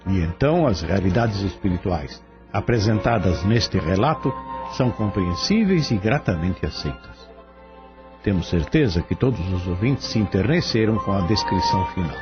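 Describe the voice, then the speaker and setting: A man with a low voice and a Brazilian accent delivers formal, solemn scripted commentary. His intonation rises and falls widely. The sound is dull, with music clearly playing behind him. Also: very animated, normal speed. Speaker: commentator on a radio drama. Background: background music.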